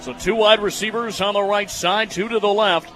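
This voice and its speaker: A young American man in his twenties doing live football radio play-by-play, excitable and quick to raise his voice on plays. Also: sits high, soft background music. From United States, Michigan. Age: 20s.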